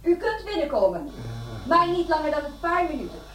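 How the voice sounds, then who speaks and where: A brisk soothing nurse, high lilting voice, echoey room, radio drama. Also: female voice, sing-song, noisy background. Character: calm, brisk hospital nurse. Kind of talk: radio play acting.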